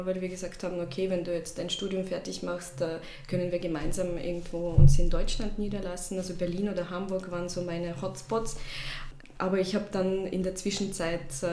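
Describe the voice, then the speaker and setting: A woman's voice: fairly low pitch, dark tone. A woman in her thirties from Russia, a native Russian speaker, telling her personal story as an interview guest in a reflective, hesitant way. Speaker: podcast guest, shop founder. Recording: roomy echo, faint background noise.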